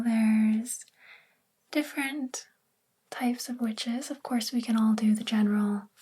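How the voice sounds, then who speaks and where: A sweet witch librarian acted out by a woman speaks in a very breathy near-whisper, pitched on the high side. The delivery is gentle, confiding and slow, with little rise or fall, in the manner of a soft-spoken ASMR fantasy roleplay. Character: sweet young female witch librarian, gentle, self-doubting.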